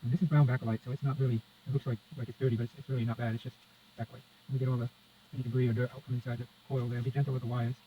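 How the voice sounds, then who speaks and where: A man talks viewers through hands-on work in a casual, step-by-step way, half to himself. His pace is quick. The recording is somewhat dull and lo-fi, with faint noise behind the voice. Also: medium pitch, little pitch movement. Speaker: presenter of a radio repair how-to. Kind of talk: casual hands-on demonstration talk. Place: indoors at a repair workbench.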